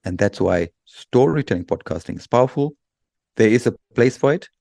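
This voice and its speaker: A man's voice: mid-range voice, animated, moderate pace, creaky, mellow, no echo. A man giving persuasive, explanatory talk as a guest expert, emphatic and fond of repetition and lists.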